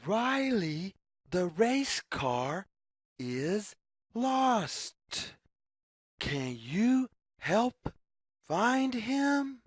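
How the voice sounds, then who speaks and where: A woman reading a story aloud for young children, phrasing deliberately like a teacher and putting questions to the listener. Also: on the high side, slightly rough, no echo, clean studio sound. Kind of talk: children's story read-aloud, scripted narration.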